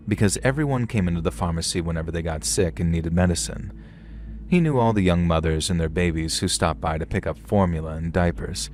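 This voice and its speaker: A man narrating a story in a calm, steady storytelling manner. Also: low-pitched, a little hiss or hum. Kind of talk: scripted horror story narration.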